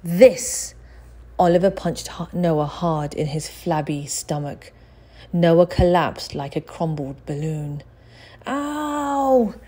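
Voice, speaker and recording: A woman narrates a children's story from a script. Her voice sits low for a woman, with a mellow, warm tone, and she reads expressively as an audiobook narrator. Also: steady tone, a little slow. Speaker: audiobook reader.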